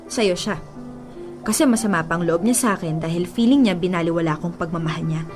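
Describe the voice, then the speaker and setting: A woman's voice: on the low side, fast, lightly acted. An actress plays a young Filipino-accented woman, a jealous ex fighting for her man. She is emphatic and confrontational, in radio drama style, with music underneath. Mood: boastful, intense. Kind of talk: radio drama acting in mixed Tagalog and English.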